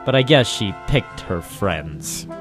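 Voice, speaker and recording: An actor playing a tough, cynical dockside private eye in 1940s radio noir style, deadpan and hard-boiled. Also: male voice, lowish voice, slow. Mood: wry, brooding. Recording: vintage 1940s radio recording with music.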